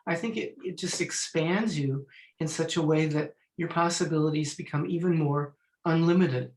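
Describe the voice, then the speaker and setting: A man in his sixties, a Feldenkrais teacher and musician, chatting in an online panel, telling stories and clearing his throat now and then. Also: fairly high pitch, normal speed, roomy sound. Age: 60s. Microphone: video call.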